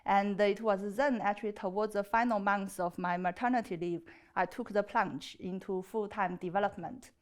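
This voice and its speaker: A woman in her thirties from China with a Chinese accent, giving an earnest, slightly hesitant personal pitch. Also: fairly even intonation, moderate pace, few pauses. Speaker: property developer pitching as a contestant. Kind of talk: personal pitch and chat on a TV show.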